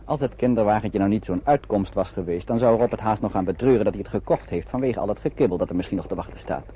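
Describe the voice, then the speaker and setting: A man's voice: on the low side, fast talker. A man reading scripted narration for a radio play. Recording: dull, noisy old radio recording.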